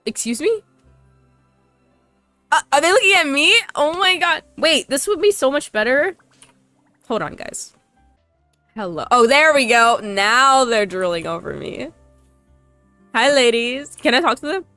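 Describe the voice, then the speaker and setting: Animated woman streamer, highish voice. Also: a little slow, long pauses, no echo. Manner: playful, gushing, teasing. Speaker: streamer reacting to a game. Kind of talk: casual gameplay reaction chat. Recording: background game music.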